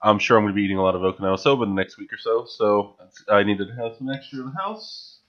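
Young guy vlogging at home into a desk mic, lowish voice, chatty and excited, kinda muffled audio. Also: fast. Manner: chatty, enthusiastic, with frequent filler restarts. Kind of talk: casual grocery haul vlog. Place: small home room, indoors. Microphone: studio mic on a stand, wearing headphones.

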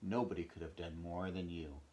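A man voicing a gentle, reassuring country vet in a storybook reading. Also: sits low, normal speed, a little hiss or hum, voice pushed down, natural voice. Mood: gentle, consoling. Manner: soft-spoken, expressive.

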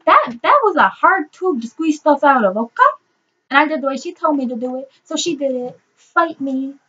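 A woman's voice: sits high, animated, normal speed, pushed and bright, dull audio. A teenage girl casually reviewing a toy in her bedroom, explaining each step in a chatty way, recorded on a webcam microphone. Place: bedroom, indoors, seated on the floor. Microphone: built-in webcam mic.

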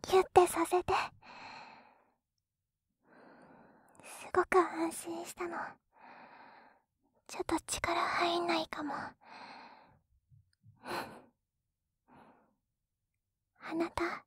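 A woman playing a sweet, clingy young wife in an intimate binaural ASMR roleplay, speaking softly and breathily close to the mic. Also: very high voice, monotone, unhurried, dry recording. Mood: tender, relieved. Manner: hesitant, soft, breathy, clingy. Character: sweet, tipsy, jealous young wife doting on her husband. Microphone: close binaural dummy-head microphone.

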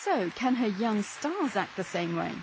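A woman's voice: medium pitch, very animated, a little slow, background noise. A woman television reporter reading scripted narration. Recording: music under the voice. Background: classical music.

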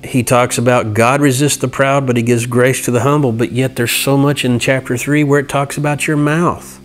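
A middle-aged man teaching from scripture in an earnest, halting way, reading passages and explaining them. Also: medium pitch, fairly even intonation. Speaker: Bible teacher. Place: indoor room by a large window. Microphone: lapel mic.

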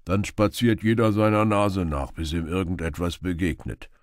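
A man's voice: deep voice, steady tone, moderate pace, no echo, clean studio sound, lightly acted. A man voicing a jovial, bantering outlaw companion in an audiobook. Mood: hearty. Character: hearty male outlaw companion, good-humoured and bantering.

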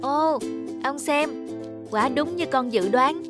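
High-pitched, curious little leaf sprite voiced by a woman. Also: takes it slowly, over music. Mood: eager. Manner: earnest, questioning, respectful. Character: curious, naive young leafy sprite child, eager pupil. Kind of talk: animated fable dialogue.

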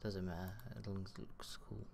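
A man in his thirties giving a casual live software tutorial from his desk, speaking through a headset and thinking aloud as he works. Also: deep voice, little pitch movement, creaky, slight background noise. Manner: slow, halting, muttering while working. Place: small room at a computer desk.

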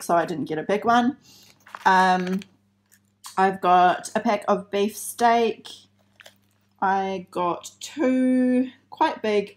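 A woman's voice: medium pitch, slight background noise. A young woman casually chatting through her grocery haul at a kitchen table, with lots of ums and uhs. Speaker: vlogger showing a grocery haul. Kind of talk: casual chat. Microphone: phone camera held over the table.

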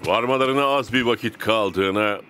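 A man's voice: on the low side, normal speed, sharp tone, a little hiss or hum. An actor voicing a stern, curt male coach with an authoritative manner in a dubbed cartoon.

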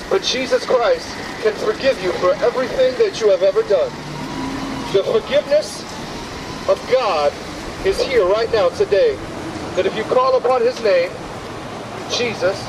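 A man in his thirties preaching earnestly at a busy outdoor bus stop, pleading directly with passers-by. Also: high-pitched. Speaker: street preacher. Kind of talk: open-air street preaching. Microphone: far camera, no visible mic. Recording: distant outdoor camera audio with street noise.

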